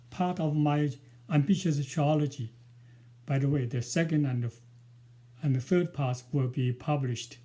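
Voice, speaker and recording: Older chinese guy, mandarin native, talking slow w lots of uh's, mellow voice on the high side, intro before reading his book. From China. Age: 60s. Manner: hesitant and careful, with frequent pauses and fillers. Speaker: author.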